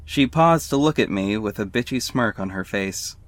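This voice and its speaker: A man's voice: lowish voice, expressive, moderate pace, no echo, lightly acted. Man as a wry confiding narrator, close and dry. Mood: guarded. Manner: confiding, snarky asides. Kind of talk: first-person scripted narration in audio drama.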